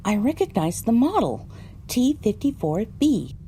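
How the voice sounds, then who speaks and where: A woman's voice: a little high, big pitch swings, measured pace, mellow, slight background noise. A woman delivering scripted, first-person narration for an audio drama.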